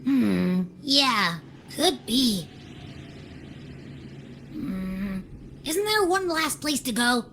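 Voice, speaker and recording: A woman voicing a playful, sassy little female companion in a fantasy game, expressive and cheeky. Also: fairly high pitch, very slow, pauses often, a little hiss or hum, full character voice. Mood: pondering, teasing. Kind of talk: video game voice acting.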